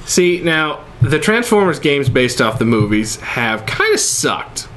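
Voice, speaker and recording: A man's voice: on the high side, sing-song, a little slow, fairly bright tone. A man in his thirties chatting casually as co-host of an entertainment show. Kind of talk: casual chat about video games. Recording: noisy room recording.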